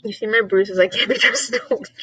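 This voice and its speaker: A woman's voice: mid-range voice, fairly quick, sharp tone, husky, no echo, rough recording. A giggly woman co-hosting a casual livestream chat, repeating words for emphasis. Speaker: co-host of a live reading sprint stream.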